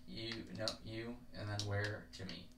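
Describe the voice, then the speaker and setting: A woman's voice: extremely low pitch, little pitch movement, a little slow. A woman chatting casually as co-host of a video game session, recorded on a noisy mic in an echoey room. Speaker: gaming video co-host running the minigame. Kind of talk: casual gameplay chat.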